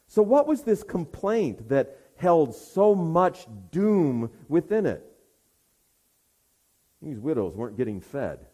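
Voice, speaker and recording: A man preaching an expository sermon in an emphatic manner, with rhetorical questions and deliberate pauses for weight. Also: a little high, very animated, mellow.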